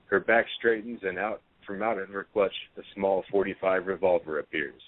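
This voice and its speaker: Low-voiced male stage-direction narrator. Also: moderate pace, no echo. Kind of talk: scripted narration. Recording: dry, narrow phone-like sound.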